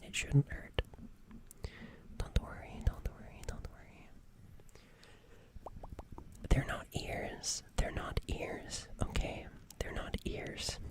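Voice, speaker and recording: Woman playing a whispering, gentle alien caregiver. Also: takes it slowly, pauses often, natural voice. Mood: soothing. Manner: soothing, hesitant, repeats phrases softly. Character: gentle flustered alien nurse tending a crash survivor. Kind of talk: whispered ASMR roleplay.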